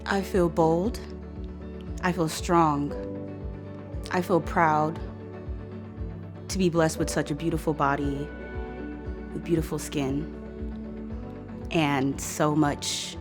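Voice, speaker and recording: Confident young woman, lowish voice. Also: steady tone, slow, pauses often, music in the background. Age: 20s. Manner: confident, proud. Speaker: participant. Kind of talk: candid conversation.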